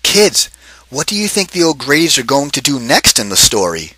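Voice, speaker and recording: A man reading a children's picture book aloud, expressive and lively, pausing to ask kids questions. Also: medium pitch, dry recording, poor audio. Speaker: read-aloud teacher.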